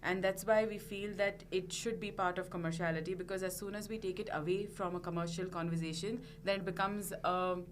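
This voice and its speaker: A woman's voice: lowish voice, steady tone, fairly quick, few pauses, slight background noise. A woman with an Indian accent, a sustainability manager answering conversationally as an interview guest.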